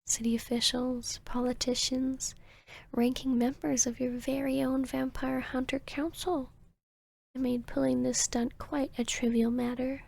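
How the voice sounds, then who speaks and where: Woman performing a seductive vampiress, higher-pitched, breathy whisper, arrogant, teasing, unhurried, ASMR roleplay. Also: level tone, darkish tone, full character voice. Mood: smug, teasing. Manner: slow, smug, teasing, deliberate. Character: arrogant, seductive vampiress hypnotist posing as therapist.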